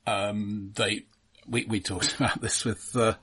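A man's voice: lowish voice, flat delivery, measured pace, a bit bright, slightly rough. A man co-hosting a film podcast, offering considered, analytical points in a casual and somewhat hesitant way. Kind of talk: casual film review discussion.

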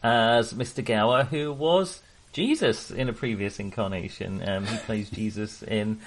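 A man's voice: fairly low pitch, measured pace. A man co-hosting a casual film discussion, chatty, enthusiastic and full of opinions. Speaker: film podcast co-host.

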